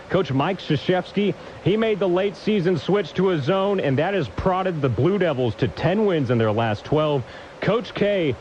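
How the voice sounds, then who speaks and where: A man's voice: fairly high pitch, a little mellow, lo-fi sound, a little hiss or hum. A man reading a scripted sports update as a broadcaster, with polished, punchy announcer delivery.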